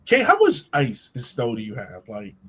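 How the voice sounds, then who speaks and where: A man's voice: mid-range voice, huge pitch swings, normal speed, a bit bright, slightly rough. A man hosting a sports fan podcast, chatting casually in an informal, slangy, enthusiastic way. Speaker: podcast host.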